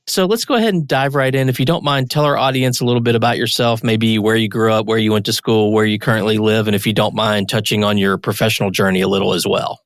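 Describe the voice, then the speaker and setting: A man's voice: on the low side, steady tone, fast talker, few pauses, no room sound. A male podcast host with a mid-range voice introduces his guest. He sounds upbeat and welcoming, in a close, dry recording. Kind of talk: scripted interview intro.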